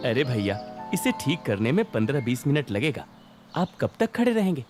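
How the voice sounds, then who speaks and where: Man acting a chatty, animated village bicycle repairman. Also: medium pitch, normal speed, soft background music, natural voice. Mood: teasing, friendly. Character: friendly, teasing village bicycle puncture repairman. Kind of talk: animated children's moral story dialogue.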